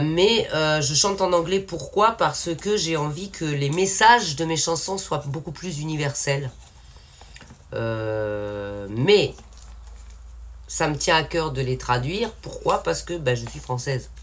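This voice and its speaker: A woman's voice: low-pitched, takes it slowly. A woman from France talking casually and frankly to her viewers, rambling with many fillers and restarts. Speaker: independent singer and channel owner. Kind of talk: casual spoken update to viewers.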